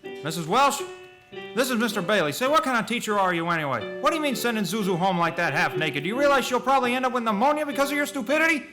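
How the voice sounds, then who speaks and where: A man's voice: high voice, expressive, fast, music in the background. A man playing a short-tempered, agitated family man under strain in a radio play. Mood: furious. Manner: urgent, agitated, stammering.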